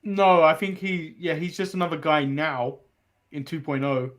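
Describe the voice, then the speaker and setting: A man's voice: on the high side, little pitch movement, normal speed, fairly bright tone. A man from England, co-hosting a casual podcast chat with dry jokes. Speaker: wrestling podcast co-host.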